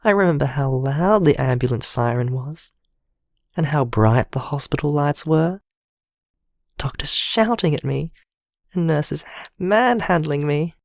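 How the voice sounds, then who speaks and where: Male actor as gentle, wounded man in an audio drama voicemail. Very slow, hesitant delivery, close dry sound. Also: a little high, creaky, dry recording. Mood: anguished, tearful. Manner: halting, hesitant, emotionally vulnerable. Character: traumatised gentle man leaving a heartfelt voicemail.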